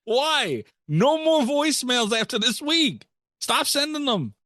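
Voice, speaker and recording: A man's voice: extremely high pitch, big pitch swings, unhurried, sharp tone, dry recording, clean studio sound. A man hosting a podcast in casual banter, joking with profane exasperation. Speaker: podcast host.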